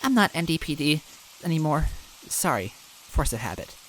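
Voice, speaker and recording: A man voicing a tough, spunky young toad woman, a former police officer who is blunt and quick to yell. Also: on the high side, very animated, slight background noise, pitched above the performer's own voice, low-quality recording. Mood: apologetic.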